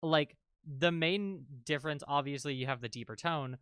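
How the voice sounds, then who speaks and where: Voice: mid-range voice, moderate pace, fairly bright tone, smooth, no echo, clean studio sound. A streamer and voice actor chatting casually and rambling with lots of fillers, a little congested. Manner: rambling, filler-heavy, self-interrupting. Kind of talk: casual let's-read commentary.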